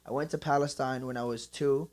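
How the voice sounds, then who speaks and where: A man's voice: mid-range voice, steady tone, brisk, darkish tone. A young man from Northeast Philadelphia, a fighter, guesting on a studio podcast and chatting easily, calling the host bro and laughing often. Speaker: guest, Muay Thai fighter. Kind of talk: casual interview chat. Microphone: studio mic.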